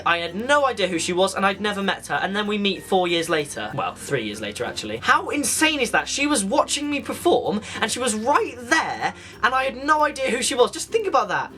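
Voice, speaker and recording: A man's voice: sits high, pushed and bright. A teenage young man, chatting casually and enthusiastically as he tells anecdotes for a vlog. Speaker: vlogger.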